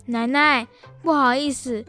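A female performer playing a dutiful, cheeky young grandson in a children's radio drama, with simple, deliberate delivery. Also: high-pitched, fairly even intonation, pushed and bright, faint music behind.

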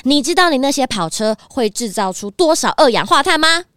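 A sly, haughty fox lady thief, played by a woman with a Taiwanese Mandarin accent. Her highish voice swoops about as she taunts, righteous and cool, in a dry children's radio drama. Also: lively intonation, fairly quick, fairly bright tone, clear, resonant tone, dry recording. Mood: stern, threatening.

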